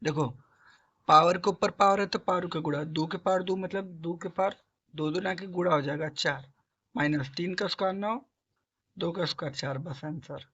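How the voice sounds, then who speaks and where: A hoarse man with a sore throat teaching a math lesson, coaxing students along and asking and answering his own questions. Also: sits high, moderate pace, pushed and bright, lo-fi sound. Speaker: math tutor. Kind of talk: classroom-style math lesson.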